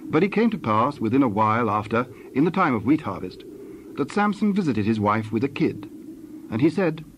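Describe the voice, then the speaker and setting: A man's voice: mid-range voice, sing-song, brisk, slight background noise. A man narrating scripture as the storyteller of a dramatized Bible reading.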